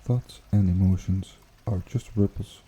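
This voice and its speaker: Very dark, husky low-voiced man, hushed meditation. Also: fairly even intonation. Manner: hushed, unhurried, with gentle pauses. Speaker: ASMR guided meditation host. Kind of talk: ASMR guided meditation, slow soothing narration. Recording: water trickling behind the voice. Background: pond water bubbling and trickling.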